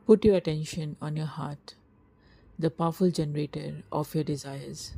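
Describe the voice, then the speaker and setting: A woman narrating a scripted guided meditation in a soft, breathy, husky voice, with a slow, soothing, hushed delivery and long pauses. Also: low-pitched, steady tone.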